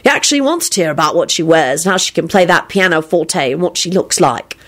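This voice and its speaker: A woman's voice: on the low side, big pitch swings, fairly quick, fairly bright tone. Woman voicing a dreamy, lovesick young man. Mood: happy. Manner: dreamy, earnest, rambling.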